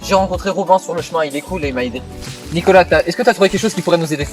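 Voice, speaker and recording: Amateur survival film: earnest male companion played by a man, high-pitched, brisk delivery. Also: over music, natural voice. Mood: earnest, explaining.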